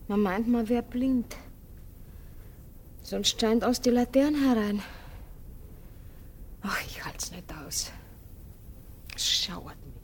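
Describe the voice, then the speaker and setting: Anxious young mum, radio drama, slowish, troubled, faint rumble behind. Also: female voice. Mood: worried, fearful. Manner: colloquial, troubled. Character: anxious young working-class mother, uneasy and oppressed. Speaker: actor.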